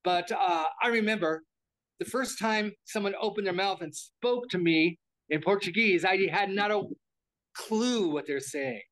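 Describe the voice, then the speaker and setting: Rambling older man, high voice. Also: measured pace, fairly bright tone, poor audio. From United States. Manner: rambling, repeats words and restarts often. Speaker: interviewee. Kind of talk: casual interview talk.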